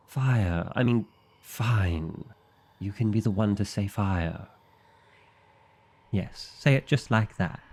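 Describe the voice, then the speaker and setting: A man acts out an exasperated vampire librarian for an ASMR fantasy roleplay. The voice sits on the low side and moves at a very unhurried pace. He sounds officious and easily affronted, with a dry comic edge. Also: darkish tone, slightly rough, full character voice. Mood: flustered.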